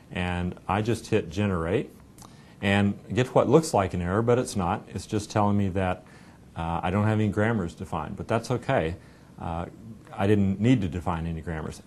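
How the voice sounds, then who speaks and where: A middle-aged American man walks through a software product in a deep, low voice. He explains things informally and conversationally, as a company representative would. A noticeable low rumble sits behind his voice. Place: indoor meeting room. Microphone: lapel mic.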